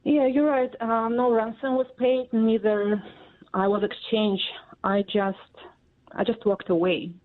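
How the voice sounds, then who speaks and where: A woman in her forties from Russia, speaking English with a Russian accent as an interview guest, halting and full of fillers. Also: on the high side, steady tone. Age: 40s. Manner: halting, many fillers and pauses. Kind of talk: interview answers. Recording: tinny phone line.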